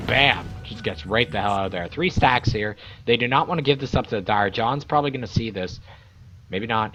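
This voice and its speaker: A man doing casual play-by-play commentary on a video game match, excitable and rambling, swearing now and then, his voice a little raspy. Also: fairly low pitch, a little hiss or hum. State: voice getting raspy. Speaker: game caster. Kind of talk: amateur esports play-by-play commentary.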